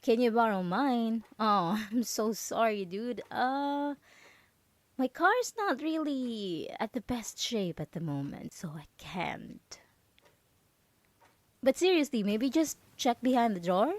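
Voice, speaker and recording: A woman acting as a possessive female roommate in an ASMR audio drama. She speaks slowly and haltingly, with nervous sweetness and feigned innocence. The sound is close and dry. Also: a little high, lively intonation, no echo. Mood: apologetic, evasive. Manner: halting, nervous, giggly, hesitant with many trailing pauses.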